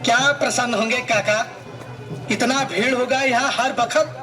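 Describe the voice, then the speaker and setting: A man's voice: very high-pitched, fairly even intonation, moderate pace, sharp tone, faint music behind, a faint crowd. A man voicing a disgruntled, self-important villager in a puppet show, complaining and defensive. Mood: aggrieved.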